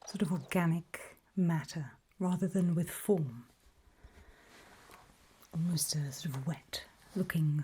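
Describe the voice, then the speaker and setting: A woman playing a calm, faintly sinister guide in a creepy ASMR roleplay, whispering slowly and deliberately with a breathy voice. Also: sits low, a little hiss or hum. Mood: calmly instructive. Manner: slow, deliberate, coolly instructive, softly ominous. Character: calm, faintly sinister female guide briefing people on alien dangers.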